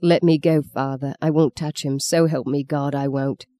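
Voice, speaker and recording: A man's voice: fairly high pitch, steady tone, normal speed, warm tone, lightly acted. A narrator as a bitter young man. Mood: bitter resignation. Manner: mocking, embittered. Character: bitter, scornful, wounded young man defying his father.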